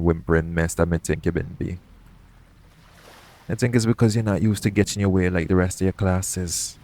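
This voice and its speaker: Man playing low-voiced pirate captain. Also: darkish tone. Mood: frank, reflective. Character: shady, guarded pirate captain, roguish yet gentle. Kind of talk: intimate audio roleplay acting addressed to the listener.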